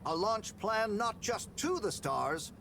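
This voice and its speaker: A man's voice: high-pitched, takes it slowly, creaky, background noise, full character voice. A smarmy corporate showman. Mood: grandiose. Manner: grandiose, flattering.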